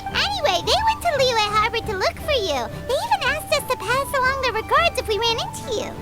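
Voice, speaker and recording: An actress voicing a tiny floating girl companion who is chirpy and cheeky, with a high, squeaky, childlike voice and a teasing way of talking about herself by name. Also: big pitch swings, quick pace. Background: game music.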